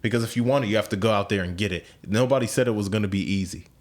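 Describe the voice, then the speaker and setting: Low-voiced man in his twenties, musing aloud. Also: very fast. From United States. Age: 20s. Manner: relaxed, thinks aloud with repeats. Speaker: podcast host. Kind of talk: casual conversation.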